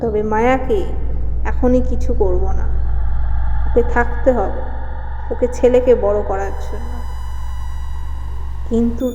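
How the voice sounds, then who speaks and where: A high, soft-toned wronged young wife played by a woman in a horror radio play, a vengeful spirit of the household. Her voice hangs in long reverb with some noise behind it. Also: fairly even intonation, takes it slowly, long pauses, dull audio. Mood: vengeful, menacing. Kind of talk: Bengali horror audio drama acting. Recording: long hall reverb, clear background noise.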